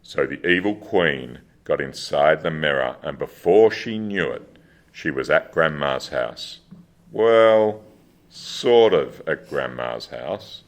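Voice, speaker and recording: A man's voice: extremely low pitch, expressive, slow. A man narrating a scripted children's fairy-tale radio play.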